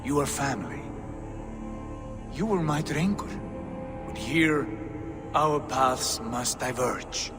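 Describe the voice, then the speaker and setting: A man's voice: medium pitch, takes it slowly, pauses often, natural voice. Casting: man to play a proud, stern Viking jarl, grave and formal delivery, game drama; long reverb, music up front. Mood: resolute, solemn. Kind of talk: dramatic game cutscene acting.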